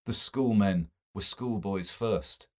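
A clever young man with a sneer under exaggerated politeness, played by a male audiobook reader. He delivers his literary retorts slowly and pointedly. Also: fairly low pitch, a bit bright. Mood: icily polite.

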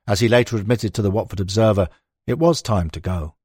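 A man with a husky voice reads a non-fiction football book as scripted narration. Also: lowish voice, fairly quick, a little mellow, dry recording, clean studio sound. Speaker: audiobook narrator.

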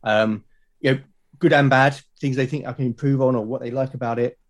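A man's voice: mid-range voice, steady tone, brisk. A British man talking casually and earnestly as a podcast guest, with an encouraging way of speaking. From Britain. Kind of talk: casual interview chat.

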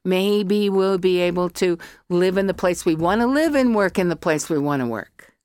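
American woman on a podcast, kinda low voice, really clean and smooth, dry close sound, goes off on tangents. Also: expressive, moderate pace, a little mellow, no room sound. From US (Colorado). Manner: chatty, opinionated, goes on tangents. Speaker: guest artist and academic. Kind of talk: podcast interview.

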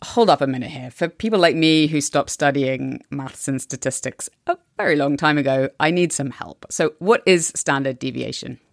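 Gravelly female podcast co-host. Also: extremely low pitch, moderate pace, hardly any pauses, dry recording, clean studio sound. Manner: frank, asks for plain explanations. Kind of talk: podcast banter and questions.

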